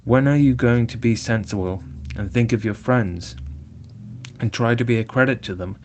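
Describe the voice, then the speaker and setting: A man's voice: mid-range voice, moderate pace, darkish tone, natural voice. A man reading aloud and voicing a sensible male water rat who scolds his friend earnestly. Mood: scolding.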